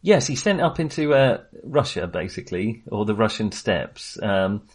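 Halting man chatting about films. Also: fairly low pitch, very animated, slightly rough. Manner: halting, self-deprecating, informal. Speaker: film podcast host. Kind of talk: casual film discussion.

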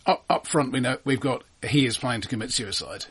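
Male film-show co-host, somewhat rough-edged husky voice, average pitch, conversational, measured and opinionated. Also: a bit bright. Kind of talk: casual film discussion.

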